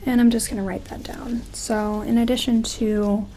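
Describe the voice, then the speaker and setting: A woman doing an ASMR medical roleplay as a gentle doctor, soft-spoken and slipping into whispers, with tentative, reassuring phrasing. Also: a little high, level tone, moderate pace, slight background noise. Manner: gentle, hesitant, reassuring clinician talk. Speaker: ASMR performer playing a doctor examining an alien.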